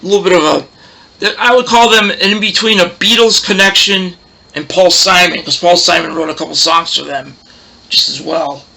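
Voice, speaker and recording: Loud, gravelly, bright-voiced man. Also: high voice, steady tone, normal speed, poor audio. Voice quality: loud, roaring voice. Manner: rambling, chatty, loses his train of thought. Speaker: host of a record collection show. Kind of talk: casual show-and-tell chat.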